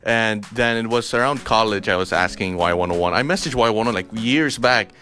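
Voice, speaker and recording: A man's voice: lowish voice, a little fast, music in the background. A young man from Cebu, a radio DJ and co-host, chatting casually on air and laughing often between stumbling restarts. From Cebu, Philippines.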